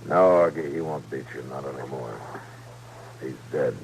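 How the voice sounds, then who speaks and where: Very deep, gravelly frontier marshal. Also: male voice. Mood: grave, gentle. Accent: American. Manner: measured, terse, quietly persuasive. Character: calm, weary, steadfast frontier US marshal. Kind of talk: old-time Western radio drama acting. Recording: noisy vintage radio recording.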